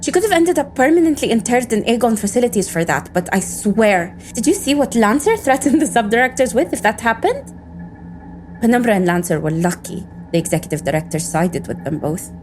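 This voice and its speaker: An actress playing an irritable, sarcastic cybersecurity operative in an audio drama, with a dry, cynical way of talking. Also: female voice, fairly high pitch, animated, fairly quick, darkish tone, faint music behind, natural voice. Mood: sardonic.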